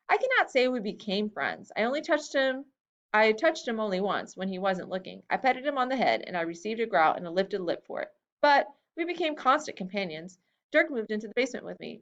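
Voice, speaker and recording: A woman reads a first-person story aloud, her pitch moving widely as she gives the quoted lines more lift. The recording sounds a little dull and lo-fi. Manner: expressive reading that lifts pitch for quoted lines. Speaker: reader. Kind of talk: storybook reading of a memoir-style tale.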